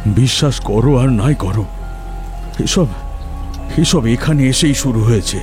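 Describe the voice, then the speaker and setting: Man acting a slow, halting, frightened elderly man. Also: medium pitch, natural voice. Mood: insistent, uneasy. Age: older. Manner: slow, halting, stammering and repeating words. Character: frightened elderly senior engineer confiding nightly terrors. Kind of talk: audio horror story acting. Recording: loud music and rain behind. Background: music, rain.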